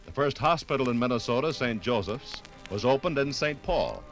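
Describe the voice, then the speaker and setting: A man's voice: medium pitch, lively intonation, moderate pace. An American man giving grand, formal scripted narration for a 1950s radio documentary. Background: faint music.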